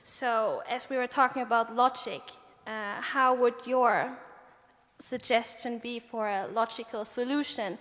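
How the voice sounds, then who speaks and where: A woman in the audience asking a question at a microphone, halting and hesitant. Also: on the high side, steady tone, fairly bright tone. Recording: long reverb of a large hall.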